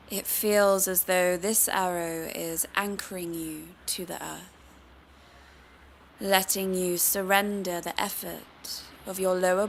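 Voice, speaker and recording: A woman teaching yoga meditation, guiding the listener in a calm, soothing, unhurried manner. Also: lowish voice, gravelly, slight background noise. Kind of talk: guided meditation.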